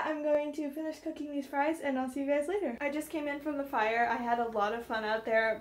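Young woman vlogging on her phone, chatty n upbeat, lots of likes and ums, bit of a high voice. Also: a little hiss or hum. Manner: chatty, upbeat, many "like" and "um" fillers. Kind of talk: casual vlog chat. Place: home bathroom and kitchen, sunny beach outdoors. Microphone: phone camera at arm's length.

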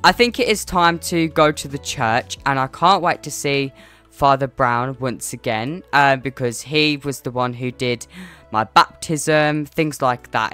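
A woman's voice: extremely low pitch, fairly bright tone, smooth. A woman playing a chatty, slightly awkward father who rambles through a family roleplay game.

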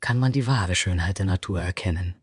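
A man voicing a blunt, resolute female goblin barbarian in a fantasy audio drama, with a husky voice and deliberate, dramatic delivery. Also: low-pitched, no echo, clean studio sound. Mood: curious.

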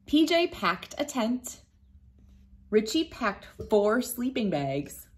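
A woman in her thirties reading a picture book aloud to children, gentle and playful, laughing now and then, recorded on a phone camera indoors. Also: fairly high pitch, very animated, slow. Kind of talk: read-aloud storytime for kids. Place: bright room indoors.

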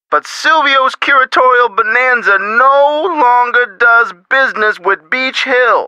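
Shrill, brash, irritable businessman. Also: bright, forceful tone, dry recording, heavily acted, rough recording. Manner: sarcastic, belligerent. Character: brash, irritable male business owner, scornful and sarcastic. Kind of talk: video game character phone-call acting.

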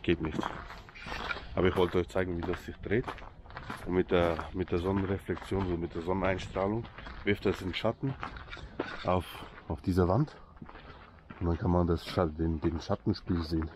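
Deep-voiced Swiss man vlogging outdoors. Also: fairly even intonation, normal speed, long pauses, noisy background. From Switzerland. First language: Swiss German. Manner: chatty, joking, addresses viewers directly. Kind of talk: casual vlog commentary to viewers. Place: outdoors by a lake.

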